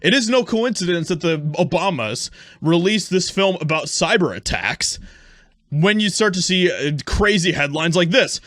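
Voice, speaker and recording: A male online commentator with a fairly high voice delivers his opinions emphatically. His tone is scornful and pointed, and the recording is close and dry, with very little room sound. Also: expressive, normal speed, fairly bright tone, no room sound. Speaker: YouTube commentator.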